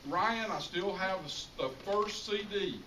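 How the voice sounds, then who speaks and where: A middle-aged American man delivers an informal tribute speech in a voice pitched a little high. He leans on key phrases and repeats them for emphasis. The sound is strongly echoey and picked up at some distance, with clear background noise. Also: unhurried, bright, forceful tone. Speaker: high school public address announcer giving a tribute speech. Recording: roomy, distant mic, clearly noisy ambience.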